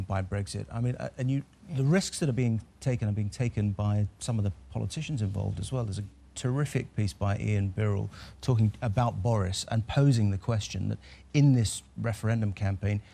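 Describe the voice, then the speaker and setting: A man appearing as a guest on a television newspaper review, talking in a measured way with hesitant fillers. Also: fairly low pitch, creaky, warm tone. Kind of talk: casual studio discussion. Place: television studio.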